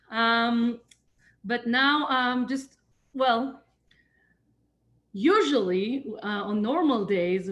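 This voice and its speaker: A woman from Israel with an Israeli accent, giving an online talk as a visiting speaker, pausing often with fillers and restarts over a video call. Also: mid-range voice, a bit bright, highs cut off. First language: Hebrew. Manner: hesitant, many fillers and restarts. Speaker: guest speaker, nonprofit emissary.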